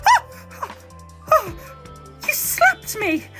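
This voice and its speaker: A woman playing a panicky, melodramatic mother with a shrill, wailing delivery in an audio drama. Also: wildly swooping pitch, very slow, over loud music, exaggerated voice. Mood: hysterical panic.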